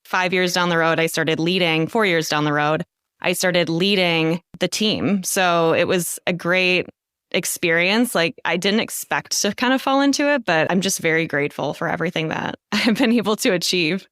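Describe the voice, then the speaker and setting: A woman's voice: lowish voice, normal speed, fairly bright tone, clear, resonant tone, no room sound, clean studio sound. A woman in her thirties, a guest leadership coach telling her career story in a chatty podcast interview, laughing now and then. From United States. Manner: chatty, laughs often, says "so" a lot.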